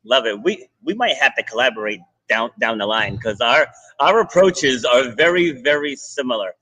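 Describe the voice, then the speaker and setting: A man hosting a podcast, a fitness coach chatting casually and telling stories in an animated way. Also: mid-range voice, moderate pace, no echo, poor audio. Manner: animated storytelling, many restarts. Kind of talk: casual interview chat.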